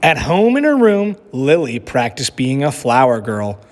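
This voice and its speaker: Slow, expressive male read-aloud storyteller. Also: medium pitch, long reverb tail. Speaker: teacher reading aloud.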